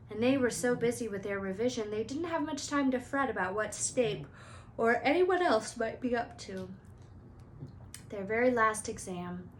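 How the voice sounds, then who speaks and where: Female read-aloud storyteller, medium pitch, expressive narration, faint background noise. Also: low-quality recording.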